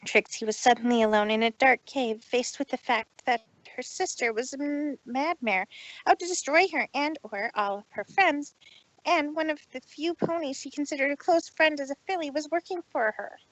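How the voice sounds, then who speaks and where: A woman's voice: sits high, moderate pace, fairly bright tone, dry recording, voice pushed up, rough recording. A woman voicing a proud but troubled female pony magician, theatrical and formal, who speaks of herself in third person. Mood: guilty, distressed.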